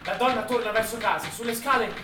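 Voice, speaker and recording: A man's voice: sits high, fast talker, music in the background. A man narrating a scripted war drama as a stage actor, in a dramatic storytelling style. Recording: echoey, noisy, distant stage recording.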